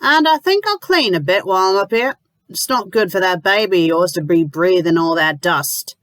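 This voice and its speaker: A woman voicing a brisk, bossy middle-aged landlady who talks firmly and sternly. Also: lowish voice, animated, a bit bright, dry recording. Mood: brisk cheerful.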